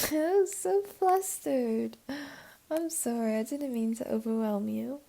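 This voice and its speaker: Casting: woman to play teasing, doting jaguar girl for ASMR roleplay; soft, mellow, whispery, slightly high voice. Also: takes it slowly. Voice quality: soft, breathy, often whispery. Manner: teasing, cooing, soothing. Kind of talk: ASMR roleplay, intimate one-to-one.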